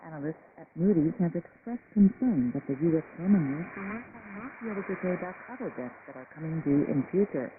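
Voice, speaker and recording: A man's voice: on the high side, expressive, quick pace, hardly any pauses. A man presenting scripted news on an international shortwave radio broadcast. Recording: tinny shortwave radio with loud static. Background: loud shortwave static and noise.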